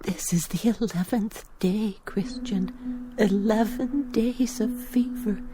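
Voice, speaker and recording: A woman playing a weary, worried pioneer mother in a radio drama, with a plaintive, measured delivery. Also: medium pitch, background noise, natural voice. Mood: anxious tenderness.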